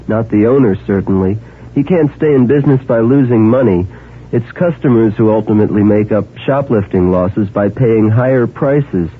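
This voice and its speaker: A man reads a scripted public service spot in an earnest, persuasive way, asking the listener direct questions. His voice is husky and mid-pitched. It is heard through a thin, tinny old AM radio broadcast.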